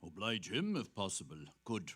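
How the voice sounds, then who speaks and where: An actor playing a genial, courtly, chatty priest, musing and effusive in a dramatic stage reading. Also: male voice, on the low side, sing-song, moderate pace, a bit bright. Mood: musing, brisk.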